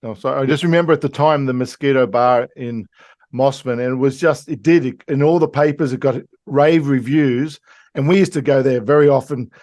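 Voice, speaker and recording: A man's voice: mid-range voice, fairly quick, darkish tone. A man hosting an interview podcast, chatting casually and reminiscing with fillers. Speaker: podcast host.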